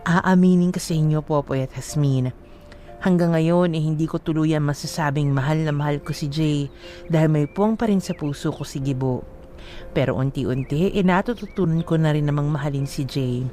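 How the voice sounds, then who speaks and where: A woman's voice: sits low, normal speed, dark tone, faint music behind. A woman co-hosting a radio advice show, reading a listener's letter and then commenting emphatically, mixing in English phrases. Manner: emphatic, mixes English into talk.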